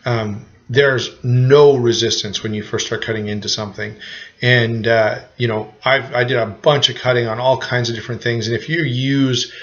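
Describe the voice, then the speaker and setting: A man's voice: medium pitch, steady tone, normal speed, dull audio. A man with a cold giving a casual, rambling knife review to camera, full of restarts and fillers. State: has a cold.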